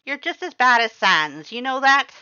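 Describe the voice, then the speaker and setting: A woman voicing a tall, loud, worried male skeleton who exclaims fretfully. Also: high voice, sing-song, normal speed, clean tone, no echo, lo-fi sound, voice pushed up, full character voice. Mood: concerned.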